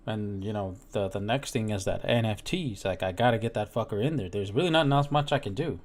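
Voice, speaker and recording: A man's voice: lowish voice, a little fast. A man in casual conversation, blunt and sweary, often checking that listeners follow him. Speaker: crypto live stream participant. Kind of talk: casual chat.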